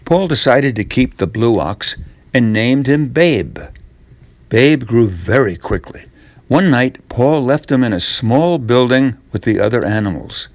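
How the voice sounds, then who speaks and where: An older man narrates a children's tall tale at an unhurried pace. His pitch swings expressively between deliberate pauses, and the sound is close and dry. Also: animated, no echo. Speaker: storyteller reading a tall tale.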